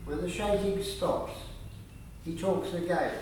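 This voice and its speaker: A man reading scripted narration for a radio play in a measured storytelling manner. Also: sits high, darkish tone, a little hiss or hum. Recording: very echoey room, distant mic.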